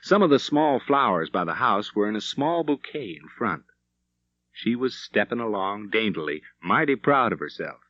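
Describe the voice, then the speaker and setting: A man's voice: lowish voice, no room sound. A man narrating a Western novel as an audiobook, telling the story in a dramatic, vivid way. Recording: slightly dull, dry studio recording.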